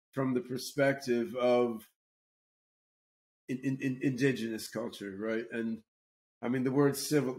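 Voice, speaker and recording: A man hosting a casual podcast chat at a middle pitch. He speaks haltingly, stumbling over repeated words and restarting his sentences as he introduces the talk. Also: darkish tone. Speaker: podcast host.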